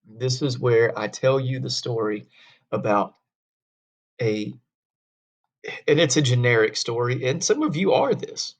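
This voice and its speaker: Gravelly man teaching history. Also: medium pitch, lively intonation, unhurried, long pauses. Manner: conversational, folksy storytelling with rhetorical questions. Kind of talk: classroom history lecture. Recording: dull lo-fi classroom recording.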